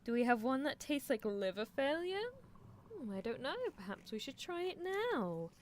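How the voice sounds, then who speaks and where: A woman's voice: fairly high pitch, big pitch swings, normal speed, slight background noise. A woman playing a possessive, coolly unethical female mad scientist in a live ASMR roleplay, coaxing the listener with theatrical delivery. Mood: playful, musing. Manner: languid, theatrical, coaxing, with soft chuckles.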